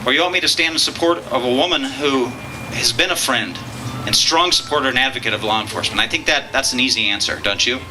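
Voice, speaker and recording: A man's voice: medium pitch, quick pace. A man emceeing a political rally, earnest and fond of rhetorical questions, introducing the speakers. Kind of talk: public speech at a political rally. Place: outdoor campaign rally. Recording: outdoor rally with clear background noise.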